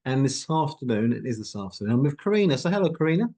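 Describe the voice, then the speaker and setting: A man's voice: medium pitch, very animated, fast, dark tone. A man hosting a casual interview, asking upbeat, rambling questions with frequent restarts. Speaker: host.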